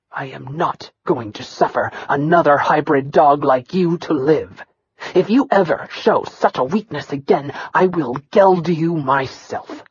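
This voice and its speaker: Woman doing a cruel commander guy, slow and menacing, husky low voice. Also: dull audio. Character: brutal older male military commander, furious.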